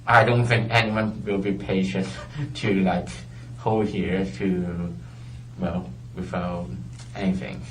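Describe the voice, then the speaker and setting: Deep, halting non-native man, distant room audio. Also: little pitch movement, a bit bright, reverberant, slight background noise. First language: not English. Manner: halting, searching for words, nervous laughs. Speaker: murder suspect being interrogated. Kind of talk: hesitant interrogation answers. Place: police interview room.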